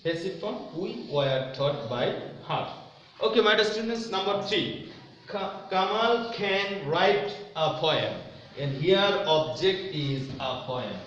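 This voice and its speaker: A man's voice: high-pitched, animated, takes it slowly, a bit bright. Man teaching in a very echoey room. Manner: repetitive, didactic, step-by-step explaining. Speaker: English grammar teacher. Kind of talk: instructional lesson to students. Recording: very echoey room, distant mic, muffled, faint noise.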